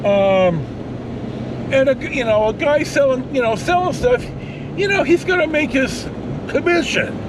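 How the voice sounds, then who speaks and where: A middle-aged American man vlogging in a casual, rambling storytelling style, halting and blunt, with some mild swearing. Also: very high voice. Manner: halting, blunt, mildly profane. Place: inside a pickup truck on the road. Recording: steady vehicle cabin noise.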